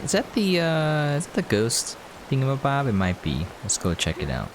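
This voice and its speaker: A man's voice: medium pitch, wildly swooping pitch, normal speed, background noise. A man giving casual, conversational commentary while playing a game, in an informal way. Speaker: game reviewer and player, host.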